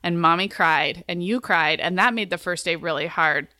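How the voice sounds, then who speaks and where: A woman's voice: on the low side, moderate pace, bright, forceful tone, no echo, studio quality. A woman co-hosting a podcast, speaking in a thoughtful, reflective way about parenting. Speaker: podcast co-host. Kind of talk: casual chat.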